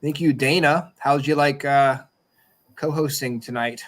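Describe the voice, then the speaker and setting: A man with an average-pitched voice hosts a podcast. He talks in a loose, casual way, rambling and joking as he goes. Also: steady tone, unhurried, fairly bright tone. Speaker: podcast host. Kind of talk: casual chat, closing a show.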